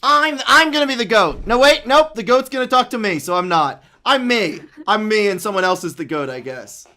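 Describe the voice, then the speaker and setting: A man's voice: high voice, lively intonation, moderate pace, pushed and bright. A man chatting casually and playfully as a performer in an improvised comedy dub.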